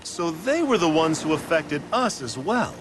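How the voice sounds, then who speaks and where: An actor playing a courteous, composed, thoughtful gentleman adventurer in a video game, speaking politely and with measure. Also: fairly high pitch, big pitch swings, moderate pace, noisy background. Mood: pensive. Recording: music under the voice.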